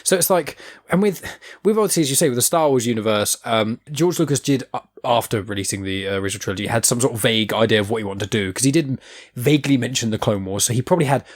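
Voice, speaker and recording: A male podcast host in casual conversation, voice sitting at an ordinary middle pitch. He rambles through his opinions, circling back and restarting sentences, and drops swear words without a second thought. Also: quick pace. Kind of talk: casual chat.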